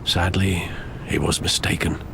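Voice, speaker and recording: An actor playing an older, loyal guardian in a video game, speaking in a measured, formal and caring way. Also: male voice, sits low, level tone. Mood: solemn, fond. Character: older loyal, gently grave guardian of an orphaned man. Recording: clear rain and vehicle noise behind.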